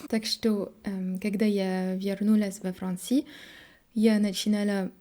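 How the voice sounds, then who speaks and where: A young French woman with a French accent, talking to camera in a learner's halting Russian, pausing often to search for words and correct herself. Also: mid-range voice, level tone, warm tone. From France. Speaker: vlogger. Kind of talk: casual monologue to camera.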